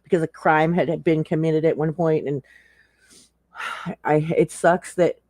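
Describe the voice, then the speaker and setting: Co-commentator, medium pitch, dry recording, blunt casual swearing chat. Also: steady tone, measured pace, darkish tone, dry recording. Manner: profane, blunt. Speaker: livestream co-commentator.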